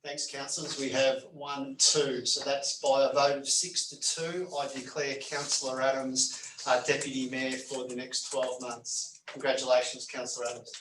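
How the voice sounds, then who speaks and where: A man's voice: medium pitch, level tone, moderate pace, hardly any pauses. A man running a council vote as general manager, hesitant and full of fillers as he counts the hands aloud. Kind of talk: formal meeting procedure. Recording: echoey room, far from mic, faint noise.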